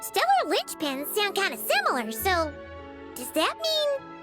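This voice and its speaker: A woman voicing a tiny, excitable floating girl companion in a video game, asking eager, high questions. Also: a little slow, heavily acted. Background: game soundtrack music.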